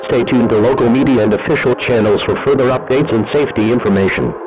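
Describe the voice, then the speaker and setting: A man's voice: mid-range voice, quick pace, noisy background. A man reading a scripted emergency broadcast announcement in a formal, impersonal, official manner.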